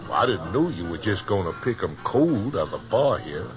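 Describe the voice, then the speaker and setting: An actor in a 1950s radio western playing a wary, demanding American cattle owner who frets and complains. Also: male voice, sits low, very animated, normal speed, music in the background. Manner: fretful, demanding. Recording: muffled old radio recording.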